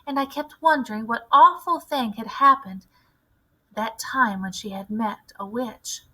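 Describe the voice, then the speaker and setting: Bright-voiced woman reading a story aloud. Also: sits high, low-quality recording. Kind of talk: expressive storybook narration.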